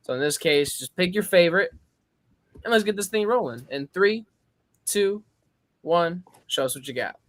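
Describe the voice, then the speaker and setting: A man's voice: sits high, expressive, normal speed, long pauses, fairly bright tone, dry recording. A man hosting a livestream over a video call, announcing the next round. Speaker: livestream host.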